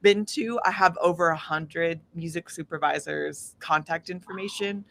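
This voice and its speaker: A woman speaking casually as an interview guest, an independent musician who tells stories in an animated way and acts out quoted dialogue. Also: on the low side, normal speed, creaky. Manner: animated storytelling, often acting out quoted dialogue.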